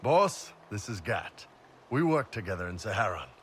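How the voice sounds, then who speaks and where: A big horned warrior from a fantasy video game. He is gruff and laid-back, with a low voice and blunt, casual talk. There is some noise behind him. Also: big pitch swings, moderate pace. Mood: hearty.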